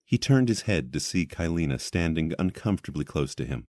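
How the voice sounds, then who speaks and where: A man's voice: rumbling low, very animated, fairly quick, darkish tone, no echo. A man narrating a scripted fantasy story as the storyteller of an audio drama.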